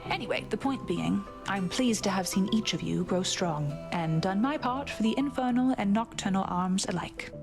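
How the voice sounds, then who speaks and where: A woman's voice: mid-range voice, animated, moderate pace, few pauses, music in the background, lightly acted. A woman playing a proud, dry huntress goddess in a video game, matter-of-fact and clipped. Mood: dry, approving.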